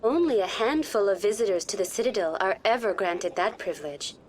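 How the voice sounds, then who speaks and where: An actress playing a polite virtual tour guide program on a space station, speaking in formal, measured informative narration for a video game. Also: female voice, mid-range voice, expressive, slight background noise, natural voice. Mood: polite, neutral.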